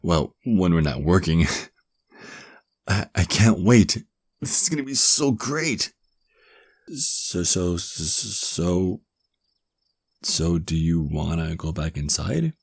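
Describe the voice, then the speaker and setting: A young man acting a shy, flustered guy in love with his best friend in an ASMR roleplay, speaking softly and hesitantly with stammers. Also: low voice, expressive, takes it slowly, long pauses, exaggerated voice. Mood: happy, tender. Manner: hesitant, stammering, breathy sighs and chuckles. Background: crickets chirping.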